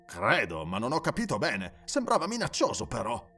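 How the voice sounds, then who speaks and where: Audio-story young ghost hunter, read by a man: impressionable, bantering, blunt, very animated. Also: mid-range voice, normal speed, far from the mic, heavily acted. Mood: unsure.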